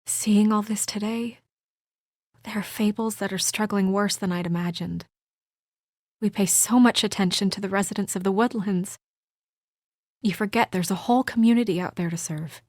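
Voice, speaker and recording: A woman playing an earnest, weary and conscientious young administrator in a video game, speaking in a measured, serious, sometimes hesitant way. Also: medium pitch, steady tone, a little mellow, no echo, clean studio sound, close to an everyday voice. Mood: hesitant, sincere. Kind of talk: naturalistic video game voice acting.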